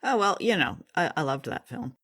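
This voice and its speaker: A woman's voice: deep voice, big pitch swings, normal speed, a bit bright, husky. A woman hosting a radio show in a casual, chatty style, with a light, playful manner. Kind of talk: casual hosted chat.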